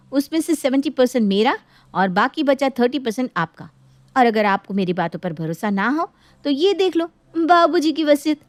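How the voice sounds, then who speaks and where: Woman playing greedy, domineering younger sister for a cartoon moral tale; high voice, mock-polite taunts, dry close recording. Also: no echo. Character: greedy, bossy younger married sister, taunting her family. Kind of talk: dramatized Hindi moral story acting.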